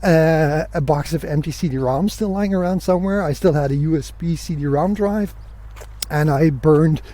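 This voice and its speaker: A man's voice: on the high side, moderate pace, mellow. A man hosting a solo podcast, telling stories in a casual, conversational way. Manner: conversational, acts out remembered dialogue. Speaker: podcast host.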